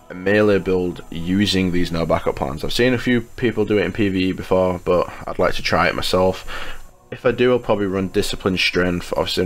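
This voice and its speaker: A man giving a casual, chatty video game guide as a YouTube host, sounding slightly congested with a cold. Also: sits low. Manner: informal, chatty, addresses viewers as guys. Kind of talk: casual video game guide commentary over gameplay menus. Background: music.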